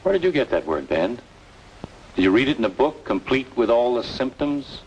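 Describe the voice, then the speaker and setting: An actor playing a weary, suspicious man in an old radio noir drama, speaking in a measured, sardonic way. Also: medium pitch, big pitch swings, darkish tone, dull audio, slight background noise. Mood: bitter, sardonic. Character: weary, suspicious man hunting the truth, quietly bitter.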